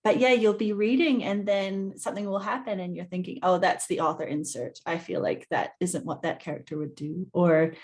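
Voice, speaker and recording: A woman from New Zealand, in her thirties, a guest author chatting in an interview over a video call, talking in quick, animated bursts. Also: lowish voice. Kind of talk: conversational interview.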